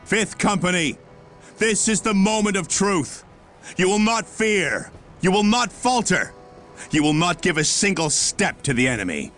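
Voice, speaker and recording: A man playing a stern, unyielding military commander in a video game, formal and commanding with a gravelly voice. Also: sits high, very animated, unhurried, a bit bright, faint music behind, heavily acted. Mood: stern, menacing. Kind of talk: dramatic video game acting.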